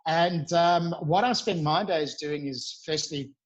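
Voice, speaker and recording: A man's voice: a little high, takes it slowly, poor audio. A man in his forties, an earnest, upbeat podcast guest chatting over a video call. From South Africa. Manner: upbeat, earnest, with bursts of emphasis. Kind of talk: casual interview chat.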